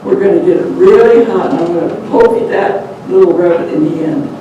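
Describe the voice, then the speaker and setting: A man's voice: high-pitched, brisk, muffled recording, lightly acted. A man voicing a stern, plain-spoken farmer giving orders, in a spoken folk tale. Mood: scheming. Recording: distant, echoey, noisy live room.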